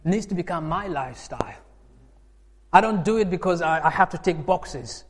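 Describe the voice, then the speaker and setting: A man in his forties from Uganda, preaching a sermon in a lively way with emphatic bursts and laughs. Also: fairly high pitch, normal speed. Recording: long hall reverb.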